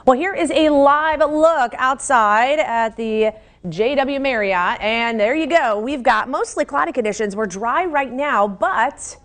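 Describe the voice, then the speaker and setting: An American woman reading local news as an anchor in a scripted newscast style. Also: high-pitched.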